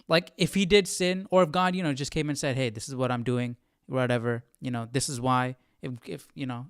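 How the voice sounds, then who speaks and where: Male study host, informal and reflective, halting delivery, crisp voice, very dry sound. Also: medium pitch, no room sound. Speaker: host of a live Bible study. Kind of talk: casual chat, commentary on scripture.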